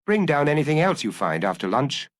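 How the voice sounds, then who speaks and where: A man's voice: a little high, big pitch swings, a little fast, no room sound, voice pushed up. A man voicing a terse, weary detective chief inspector in an audiobook, giving brief, clipped replies. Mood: businesslike.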